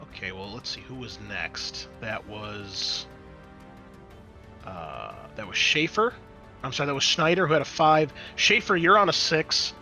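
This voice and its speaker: A man with a bright voice and mid-range pitch runs a tabletop roleplaying game. He narrates scenes casually and explains the rules in a halting, explanatory manner, with music behind him. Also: expressive. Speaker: game master.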